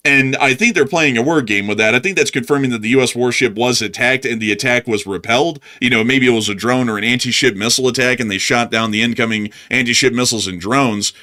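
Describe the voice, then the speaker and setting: A man's voice: mid-range voice, fast, few pauses, bright, forceful tone. A man co-hosting a live news stream, giving analysis in long, explanatory sentences. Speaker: co-host analyst.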